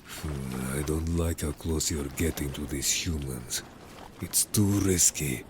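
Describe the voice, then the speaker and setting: A man's voice: rumbling low, slow, background noise. A man playing a stern, wary merman in an audio story, curt and grave, distrustful of humans. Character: stern, wary, disapproving merman information gatherer. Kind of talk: audio story character acting.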